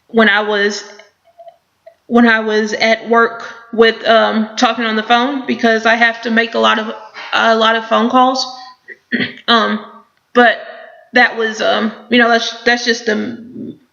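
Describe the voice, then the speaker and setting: A woman's voice: fairly high pitch, gravelly, bright, forceful tone, muffled recording. A young woman who stutters, with repeated words, blocks and many fillers, reading out questions about her stuttering and answering them. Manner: stutters with repetitions and blocks, many ums, thoughtful.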